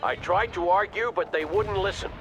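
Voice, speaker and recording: An actor playing a gruff, exasperated colonel giving curt orders by radio in a war video game. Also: male voice, sits high, moderate pace, natural voice. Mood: stern, businesslike. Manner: curt, authoritative. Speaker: military commander. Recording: battle noise over the voice. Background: gunfire, artillery and vehicles.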